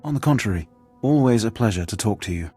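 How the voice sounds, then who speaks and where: A man's voice: lowish voice, lively intonation, fast talker, dark tone, husky, faint music behind. An actor playing a courteous male retainer to a noble lady in a sci-fi video game. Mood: friendly, polite.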